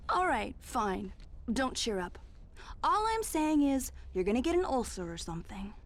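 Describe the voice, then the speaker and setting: A woman's voice: high voice, very animated, unhurried, long pauses, exaggerated voice. A flirty, teasing female cat burglar.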